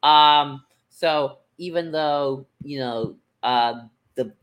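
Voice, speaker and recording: A podcast co-host in casual discussion, speaking in a hesitant, halting way as if thinking aloud. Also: mid-range voice, fairly bright tone, low-quality recording. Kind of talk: casual discussion of franchise books and comics.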